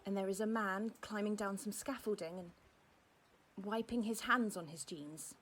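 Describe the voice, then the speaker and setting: An actor playing a grieving woman who gently describes what she watches, in simple, hesitant phrases, as an audio drama monologue. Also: mid-range voice, moderate pace, natural voice. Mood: wistful, hesitant. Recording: music behind the voice.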